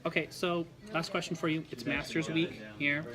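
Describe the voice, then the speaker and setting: A man's voice: on the high side, level tone, moderate pace, a crowd in the background. A man hosting a golf podcast, asking rambling, casual questions with many fillers. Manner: chatty, filler-heavy questions. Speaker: podcast host, interviewer. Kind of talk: casual interview.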